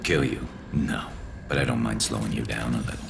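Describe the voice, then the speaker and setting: A man's voice: very deep voice, brisk, gravelly, noisy background, heavily acted. A man playing a vengeful, embittered alien soldier in a video game, clipped and grim, with a flanged voice effect. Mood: cold menace. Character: vengeful, embittered male alien soldier, weary and hard-edged. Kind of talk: video game dramatic dialogue.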